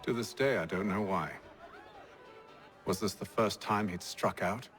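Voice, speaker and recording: Quietly commanding gentleman leader, very low voice, formal, deliberate, resolute, game cutscene drama, faint music underneath. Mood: somber, reflective. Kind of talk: dramatic video game acting.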